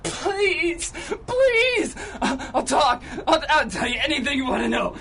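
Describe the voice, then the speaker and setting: Radio drama victim: panicked, pained male petty crook, very high, cracking gravelly edge, begging. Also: lively intonation, unhurried, heavily acted. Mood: terrified, pleading. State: panicked, crying out in pain. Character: terrified, pleading small-time male crook. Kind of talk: 1930s radio adventure drama acting.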